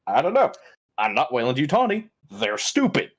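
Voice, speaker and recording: A man's voice: a little high, sing-song, normal speed, gravelly. A man casually recapping tabletop game events as a player, blunt and sardonic, with plenty of swearing.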